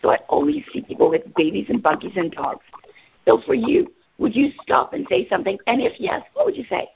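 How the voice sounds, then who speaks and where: Upbeat woman running a team call, gravelly husky voice, kinda muffled audio. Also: on the high side, brisk. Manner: upbeat, energetic, asks group questions. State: raspy voice today. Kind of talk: motivational group call.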